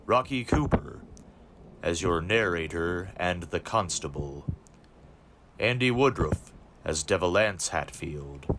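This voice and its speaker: A man giving a formal, scripted announcement as he reads out credits in a measured way. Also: deep voice, expressive. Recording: clear background noise with clicks.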